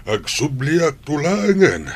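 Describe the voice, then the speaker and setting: Slow-spoken, expressive Ilocano grandfather. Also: a little high. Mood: kindly. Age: older. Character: stern, caring grandfather scolding his daughter-in-law. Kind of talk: Ilocano radio drama acting.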